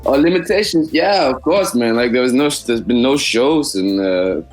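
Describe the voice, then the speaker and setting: A musician speaking English, a man giving halting, reflective interview answers full of restarts. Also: medium pitch, animated, faint music behind. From Denmark. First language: Danish. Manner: halting, many restarts and repeats. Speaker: musician guest.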